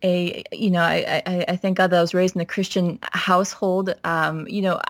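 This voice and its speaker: Woman from minnesota on a podcast, lowish voice, talks steady and thoughtful, lots of "you know", dry sound. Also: normal speed, dry recording. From Minnesota, United States. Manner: reflective, steady, frequent "you know" fillers. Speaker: pastor's wife and guest. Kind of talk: podcast interview, personal story.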